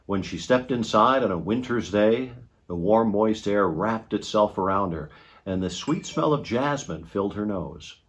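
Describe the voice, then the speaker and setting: A man reading a children's picture book aloud in an expressive storytelling style. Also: fairly low pitch, a little slow.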